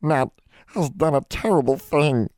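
A man's voice: fairly high pitch, unhurried, darkish tone, no room sound, clean studio sound, pitched above the performer's own voice, close to an everyday voice. A man voicing a tiny, servile, guilt-ridden male house elf who wails and pleads, in an audiobook. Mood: moaning guilt. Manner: wailing, pleading, speaks of himself in third person. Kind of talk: audiobook character voice.

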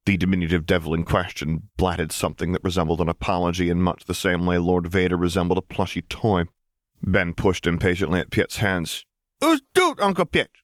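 Male audiobook reader, very low voice, scripted story narration, dry close recording. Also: little pitch movement, fairly quick, no room sound.

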